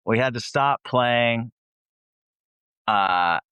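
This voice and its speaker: A man's voice: medium pitch, extremely slow, bright, forceful tone, clear, resonant tone, no room sound. A man who sings in a band, chatting as a guest and telling tour stories in a laid-back, slangy, sweary way. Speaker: band vocalist, interview guest.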